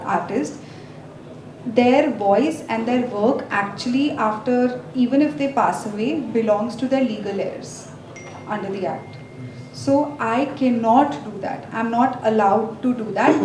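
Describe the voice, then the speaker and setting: A woman with an Indian accent explains legal points to a conference audience in a measured, careful way, pausing on fillers. Her voice sits slightly high. It reaches the recorder from across a reverberant hall with a faint hiss underneath. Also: a little mellow, recorded from a distance. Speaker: legal expert speaker. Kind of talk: conference presentation. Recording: echoey hall, speaker off-mic, faint noise.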